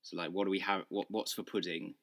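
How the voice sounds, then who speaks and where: Podcast co-host: a young man with a low, bright voice, calm and explanatory. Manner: measured, explanatory, many restarts. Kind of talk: casual chat.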